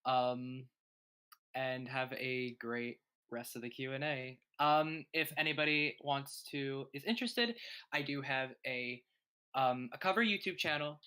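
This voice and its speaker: A voice actor and singer signing off from a live Q&A, thanking everyone warmly. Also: male voice, medium pitch, steady tone, unhurried, smooth. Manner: warm, grateful sign-off.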